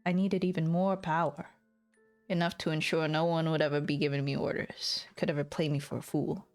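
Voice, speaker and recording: Woman doing a brooding world-weary noir narrator, ambitious lord telling the story, measured and bitter. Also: sits low, little pitch movement, darkish tone, faint music behind, pitched below the performer's own voice. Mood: brooding, guarded. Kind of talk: scripted dramatic narration.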